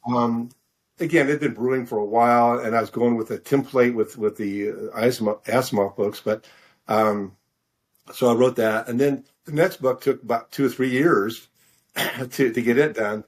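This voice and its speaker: A middle-aged man tells his story in a casual interview. His delivery is halting and self-deprecating, with words repeated while he thinks. The pitch sits in an ordinary male range. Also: fairly even intonation.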